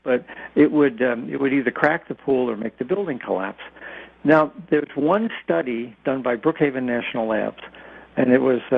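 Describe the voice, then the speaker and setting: A man with an American accent explaining things informally and haltingly as an expert guest on a radio show, over a dull line. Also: medium pitch, creaky, breathy edge, no room sound. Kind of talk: explanatory interview talk. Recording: dull, band-limited radio call-in line.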